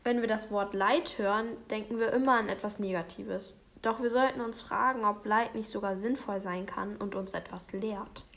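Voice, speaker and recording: A woman in her fifties, a pastor, speaking calmly and in a measured way as a guest in a discussion. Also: mid-range voice. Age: 50s.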